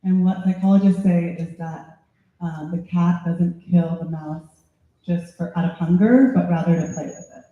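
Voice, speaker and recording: A man interprets a speech passage by passage. His voice is high, his delivery measured and a little halting. The sound is thin as a phone line and very echoey. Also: fairly even intonation, dark tone. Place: indoor public meeting hall.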